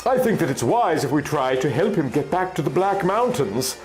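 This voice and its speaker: A man's voice: fairly high pitch, very animated, moderate pace, a little mellow, faint music behind, pitched above the performer's own voice. A man voicing a compassionate, resolute young wife and adventurer in a fantasy story. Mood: caring, firm.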